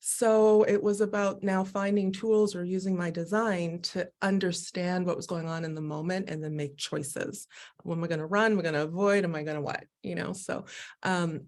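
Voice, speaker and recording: Expressive lower-voiced woman sharing candidly. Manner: animated and expressive, laughing through her stories. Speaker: guest, Human Design educator. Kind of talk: candid personal conversation.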